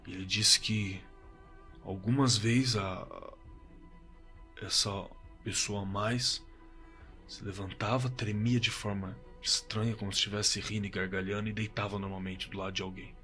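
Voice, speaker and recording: A rattled Brazilian survivor in a horror audio drama. His lowish voice is sharp and forceful, and he stumbles and repeats himself in slangy, profane speech. Music plays behind him. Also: little pitch movement. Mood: uneasy, haunted. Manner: halting, repeats words, colloquial and profane. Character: shaken man recounting a terrifying camping encounter. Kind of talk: first-person horror audio drama testimony. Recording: suspense music under the voice.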